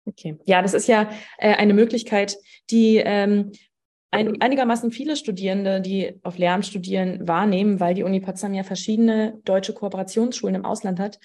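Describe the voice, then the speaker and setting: A woman's voice: medium pitch, steady tone, moderate pace, darkish tone. A young woman hosting a university podcast, interviewing a fellow student in a friendly, conversational way with some fillers. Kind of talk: casual interview chat.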